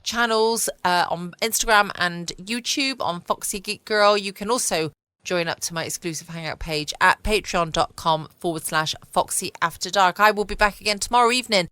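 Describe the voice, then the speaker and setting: A woman's voice: mid-range voice, moderate pace, sharp tone, clean tone, no room sound. A woman hosting a bedtime podcast from home, speaking in a scripted outro style. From England. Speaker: podcast host. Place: home, dry close recording.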